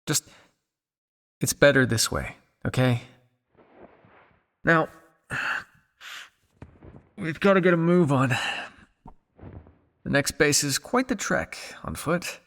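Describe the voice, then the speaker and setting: Man acting a weary, gravelly vampire scientist. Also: on the high side, lively intonation, slow pace, pauses often, a bit bright, in a large hall, full character voice. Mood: grave, regretful, then urgent, firm. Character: weary, guilt-ridden centuries-old male vampire scientist on the run. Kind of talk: ASMR roleplay acting, addressing the listener.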